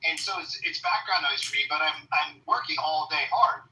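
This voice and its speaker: A man with a high, nasal voice chatting casually, rambling and repeating words as he talks. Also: moderate pace, sharp tone. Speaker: listener in a live audio room. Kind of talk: casual chat. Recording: roomy distant phone audio with faint noise.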